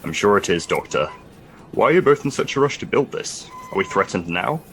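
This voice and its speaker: An actor playing a stern, dry-witted military commander in an audio drama, speaking with clipped authority. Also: male voice, fairly low pitch, animated, brisk, fairly bright tone, a little hiss or hum. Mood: skeptical.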